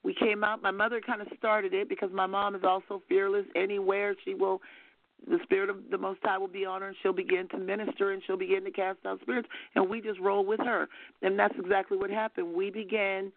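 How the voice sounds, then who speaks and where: Older woman, gravelly fervent testimony over phone. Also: mid-range voice, flat delivery, brisk, no room sound. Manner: fervent, storytelling, soft-spoken. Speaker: minister giving testimony. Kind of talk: spoken testimony on a call-in broadcast. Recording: phone-line audio.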